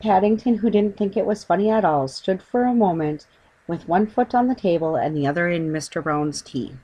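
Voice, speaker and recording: A woman with a slightly low voice narrates a children's audiobook. She reads scripted story prose. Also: a little mellow, husky, low-quality recording.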